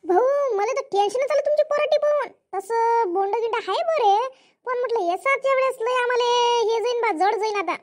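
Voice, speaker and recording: Cartoon older farm woman, played by a woman: extremely high pitch, Varhadi Marathi accent, bossy, chatty, rustic. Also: moderate pace. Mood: chatty, reassuring. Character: bossy, hardworking older village woman, farm labour leader. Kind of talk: comic cartoon dialogue.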